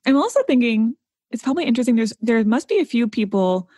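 A woman's voice: fairly high pitch, expressive, fast talker, dark tone, dry recording, studio quality. A woman co-hosting a podcast, asking encouraging questions in casual chat. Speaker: podcast co-host.

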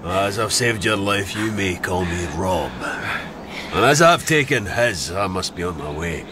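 An actor playing a gallant, wry, commanding outlaw in a radio drama. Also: male voice, low-pitched, animated, a little slow, background noise. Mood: wry, bold.

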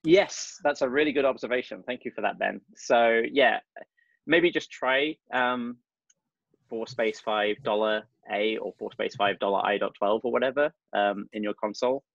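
A man giving a casual programming lesson on a video call, hesitant and full of ums, his voice getting croaky. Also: medium pitch, moderate pace, fairly bright tone, no room sound. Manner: hesitant, many ums, self-correcting. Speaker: tutorial presenter. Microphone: video call microphone. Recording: dull lo-fi video call audio.

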